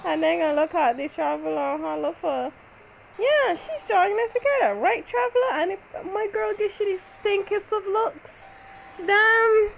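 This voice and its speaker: A high voice with plenty of fry, on a dull, slightly noisy mic. The woman reacts casually to a game in blunt, sassy slang. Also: normal speed. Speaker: gaming channel host. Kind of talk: casual gameplay reaction commentary. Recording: dull lo-fi mic with faint noise.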